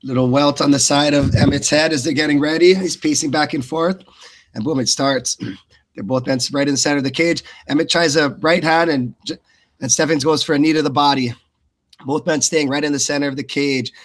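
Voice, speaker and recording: A man's voice: on the high side, fairly even intonation, brisk. A man doing live blow-by-blow fight commentary in short, clipped phrases.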